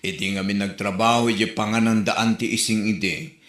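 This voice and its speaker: A man's voice: lowish voice, fairly even intonation, normal speed, a bit bright, reverberant. A man playing a gossiping neighbor in a comedic audio drama, with an exclaiming manner.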